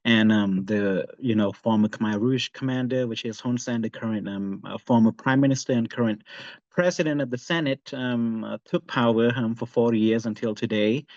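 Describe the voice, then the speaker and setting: A young man, an activist introducing himself on a webinar, speaking haltingly with many fillers. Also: on the low side, a little mellow, dry recording. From Cambodia. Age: young adult. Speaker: youth activist, panelist. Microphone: video call. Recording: dull lo-fi video call audio.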